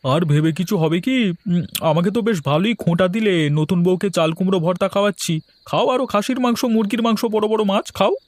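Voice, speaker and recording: Man acting higher-pitched, fretful young husband. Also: a little fast, darkish tone, dry recording, lightly acted. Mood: reproachful. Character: frugal, worried young newlywed shopkeeper husband. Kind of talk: Bengali cartoon voice acting.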